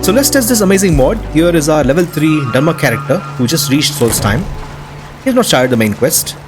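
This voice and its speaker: A man with a slightly high voice narrates gameplay for his video. He is casual and enthusiastic, working from a loose script, with music playing softly behind him. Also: lively intonation, a little fast. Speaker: video host. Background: background music.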